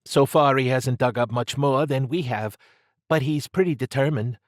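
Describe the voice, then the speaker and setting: A man's voice: medium pitch, moderate pace, no echo, clean studio sound, pitched above the performer's own voice, close to an everyday voice. A man voicing a cautious, polite subordinate agent who reports deferentially in audiobook dialogue. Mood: matter-of-fact.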